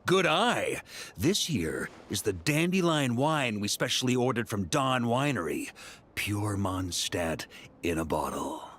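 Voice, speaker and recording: A man's voice: mid-range voice, very animated, measured pace, fairly bright tone, slight background noise. An actor playing a boisterous male knight commander who loves a drink, talking in a jovial, folksy way as in a video game. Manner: jovial, folksy, clipped storytelling.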